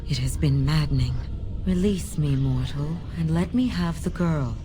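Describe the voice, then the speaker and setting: A woman's voice: low-pitched, moderate pace, background noise, lightly acted. A woman voicing a seductive, manipulative demon in a fantasy video game, with a silky, condescending and persuasive manner. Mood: coaxing, commanding. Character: seductive manipulative female demon in cat form, bound for decades.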